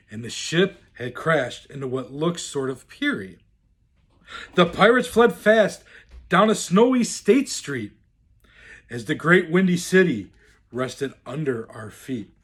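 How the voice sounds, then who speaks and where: Slow, swooping male storyteller. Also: a little high, big pitch swings, breathy edge. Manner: theatrical, deliberate, swooping delivery. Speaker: storyteller in the role of Santa. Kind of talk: expressive reading of a rhyming children's storybook.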